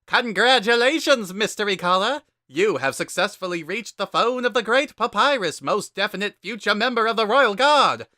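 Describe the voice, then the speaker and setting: A man's voice: high-pitched, lively intonation, normal speed, hardly any pauses, sharp tone, dry recording, clean studio sound, voice pushed up. A man voicing a pompous, big-hearted would-be royal guard in a dramatic story reading, with bombastic, grandiose delivery. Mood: grandly jubilant. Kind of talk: dramatic reading of fan fiction.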